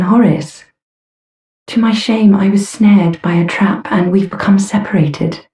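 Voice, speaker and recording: A woman voicing a courteous, earnest female knight in a fantasy game, speaking formally and gently. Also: on the low side. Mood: worried. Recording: roomy echo, slightly distant.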